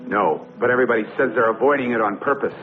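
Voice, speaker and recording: A man's voice: medium pitch, racing, faint music behind, natural voice. A man playing a loyal, earnest Greek warrior in a dubbed historical epic film, speaking dramatically. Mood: measured, grave. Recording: tinny old film soundtrack, crowd behind.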